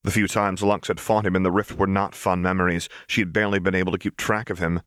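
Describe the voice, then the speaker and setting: Low-voiced man narrating dramatic fiction. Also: level tone, quick pace, a bit bright, clean tone, no room sound, clean studio sound. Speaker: audiobook narrator.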